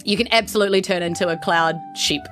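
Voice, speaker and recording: A woman with a New Zealand accent narrates a tabletop game as its game master. Her voice sits a little low, and she improvises conversationally with faint music underneath. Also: fast talker, a bit bright.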